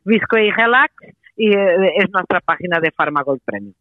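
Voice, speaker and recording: A woman with a Portuguese accent, a company representative making a persuasive sales pitch by phone on the radio, hesitating often with "eh". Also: expressive, normal speed, no room sound. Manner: persuasive, many hesitations and "eh" fillers. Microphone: telephone. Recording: tinny phone line, dry.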